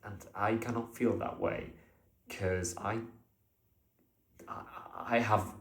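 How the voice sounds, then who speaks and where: Slow, hesitant man in an echoey room. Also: lowish voice, steady tone. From Argentina. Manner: hesitant, many fillers and restarts, unscripted. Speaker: vlogger. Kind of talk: casual monologue to camera.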